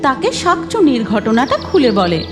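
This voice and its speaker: A woman's voice: high voice, sing-song, normal speed. A woman narrating a scripted cartoon story. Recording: music behind the voice.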